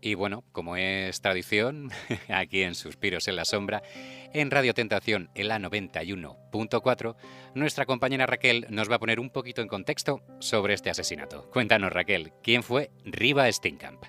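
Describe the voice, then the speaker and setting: A man's voice: on the low side, animated, moderate pace, bright, forceful tone. A man hosting a true-crime radio show. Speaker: radio host. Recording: music bed behind the voice. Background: background music.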